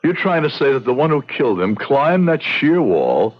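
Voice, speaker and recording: An actor playing an earnest, compassionate frontier judge in a radio drama, speaking in a formal, emphatic way. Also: male voice, mid-range voice, very animated, normal speed. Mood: astonished, incredulous. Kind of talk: radio drama acting and narration. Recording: slightly dull radio drama recording.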